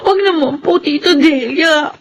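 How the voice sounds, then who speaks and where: A woman's voice: sits high, a little slow, vocal fry, a little hiss or hum. An actress playing a timid, wronged teenage girl, plaintive, in a Filipino radio drama. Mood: frightened, pleading. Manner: plaintive, pleading. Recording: dull audio with music behind.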